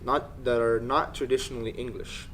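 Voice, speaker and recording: Man from Egypt, mid pitch, patient and filler-heavy, faint noise behind. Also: fairly even intonation, moderate pace, a bit bright, poor audio. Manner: explanatory, with many um fillers. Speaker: student. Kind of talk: interview answers.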